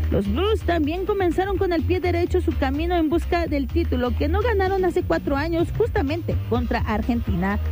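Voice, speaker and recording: A woman reading a sports news report in a measured, formal newsreader delivery. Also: sits high, mellow. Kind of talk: scripted news narration.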